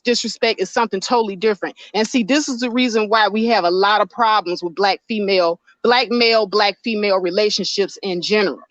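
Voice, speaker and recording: A woman's voice: medium pitch, fairly bright tone, no room sound. A woman hosting a livestream with a powerful voice, arguing her point bluntly and answering her chat. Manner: blunt and argumentative, reading chat aloud. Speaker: livestream host. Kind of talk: casual livestream commentary.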